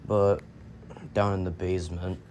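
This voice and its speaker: A young man with a cold, his voice deep and raspy, rambling casually as he films a tour of his home basement. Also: level tone, a little slow, a little mellow, a little hiss or hum. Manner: rambling, repeats and restarts as he shows things. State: sick, coughing. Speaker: vlogger.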